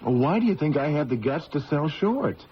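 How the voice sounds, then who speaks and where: An actor playing a desperate stockbroker husband, insistent and cajoling, in old radio drama style. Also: male voice, on the high side, big pitch swings, moderate pace. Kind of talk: 1970s radio drama acting. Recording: muffled old radio broadcast, faint noise.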